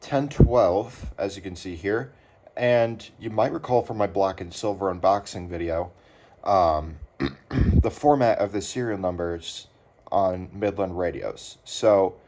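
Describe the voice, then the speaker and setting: A man with a fairly low voice talks through a casual hobbyist unboxing. His delivery is very slow and halting, with pauses as he handles things. There is some background noise, along with small clicks from the items. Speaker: hobbyist unboxing weather radios. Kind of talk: casual unscripted unboxing commentary.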